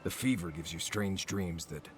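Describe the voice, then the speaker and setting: An actor playing a grave, reflective adventuring companion in a video game. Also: male voice, sits low, normal speed, a little hiss or hum, lightly acted. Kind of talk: video game cutscene dialogue.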